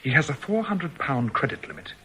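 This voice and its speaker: An actor playing a flustered, irritable department store manager in a radio drama, formal and fussy in manner. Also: male voice, on the high side, lively intonation, fairly quick, bright, forceful tone, slight background noise. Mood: apologetic. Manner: obsequious to customers, curt to staff.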